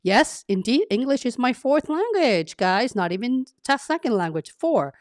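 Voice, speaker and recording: A woman from Vietnam, talking as a career coach to her live-stream audience in an energetic, effusive way with frequent restarts. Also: a little high, normal speed, no room sound, studio quality.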